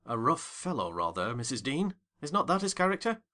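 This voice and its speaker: A man voicing a curious, polite gentleman tenant in a storybook reading. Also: medium pitch, sing-song, brisk. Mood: inquisitive. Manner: polite, inquisitive.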